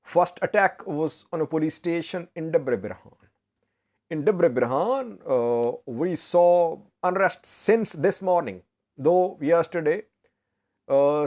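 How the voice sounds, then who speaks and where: A man presenting news commentary for online viewers, speaking haltingly in short, separated phrases. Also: mid-range voice, a little mellow.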